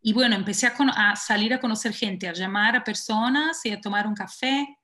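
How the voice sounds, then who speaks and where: A woman's voice: mid-range voice, brisk, fairly bright tone. Hesitant woman. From Argentina. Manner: hesitant, many fillers and self-corrections. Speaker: guest in an online panel talk. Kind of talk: casual personal story in an online chat. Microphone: video call.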